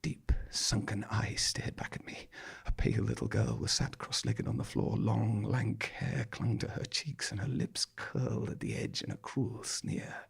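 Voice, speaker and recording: A man's voice: lowish voice, steady tone, unhurried, vocal fry, few pauses, slightly rough. A man performing a scripted first-person story on stage in an arch, droll, dramatic manner. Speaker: monologue performer. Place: small theatre stage, live performance.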